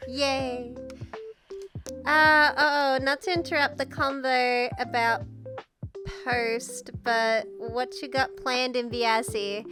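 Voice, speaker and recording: A young Australian woman chatting casually on a stream while sick with the flu, her voice nasal and congested. She rambles, swears and giggles often. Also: high voice. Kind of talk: casual chat reading viewer messages. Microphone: VR headset mic. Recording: streamed with background music. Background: music playing.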